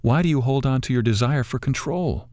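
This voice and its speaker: A man voicing a wise old female talking bird who counsels gently and calmly. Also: mid-range voice, fairly quick, dry recording, clean studio sound, pitched above the performer's own voice, natural voice. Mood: gentle.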